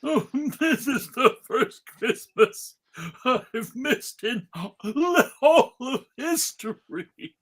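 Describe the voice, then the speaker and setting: Jolly, fretful old cartoon Santa, acted by a man: hammy, halting, unhurried, very animated. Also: extremely high pitch, slightly rough, exaggerated voice. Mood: resigned, rueful. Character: jolly but worried old Santa Claus. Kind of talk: live dramatic table read of a cartoon.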